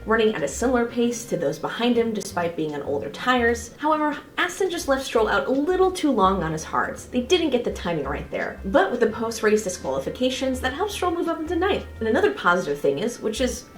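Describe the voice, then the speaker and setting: A woman's voice: on the high side, big pitch swings, fairly quick, hardly any pauses. A woman giving a casual, opinionated race review in an informal way. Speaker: motorsport analysis video host. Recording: echoey room, faint music behind.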